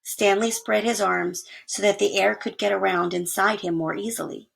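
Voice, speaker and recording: Woman reading a kids book out loud, expressive, bit of vocal fry. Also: mid-range voice, normal speed, poor audio. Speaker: story reader. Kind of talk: expressive children's storybook reading.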